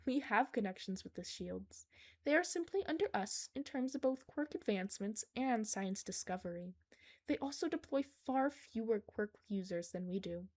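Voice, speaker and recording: A woman's voice: mid-range voice, animated, moderate pace, darkish tone, close to an everyday voice. A woman plays a young male analyst in a story. He is earnest and candid, with an inquisitive way of talking. The delivery is that of audiobook dialogue. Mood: assured.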